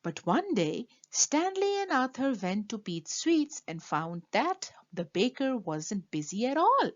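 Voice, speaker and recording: Female storyteller for kids' picture books: unhurried, emphatic read-aloud with big swings in pitch. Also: lo-fi sound. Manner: animated, emphatic delivery for children. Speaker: storyteller reading a children's book.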